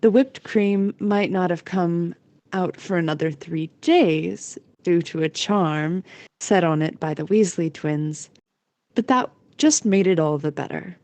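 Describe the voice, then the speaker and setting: A woman's voice: on the low side, measured pace, darkish tone, dry recording. A woman narrating a scripted story as the narrator of an audio play.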